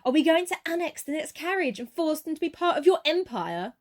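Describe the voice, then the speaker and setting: A woman's voice: high-pitched, sing-song, brisk. A woman playing a self-absorbed, evasive young social media addict with a defensive, petulant manner in an amateur radio play. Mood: mocking.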